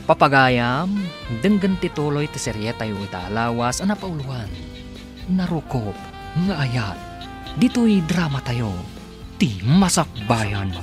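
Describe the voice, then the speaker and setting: A male announcer narrates a radio drama serial with a highly animated, mid-pitched delivery. Music plays clearly behind him. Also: unhurried. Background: background music.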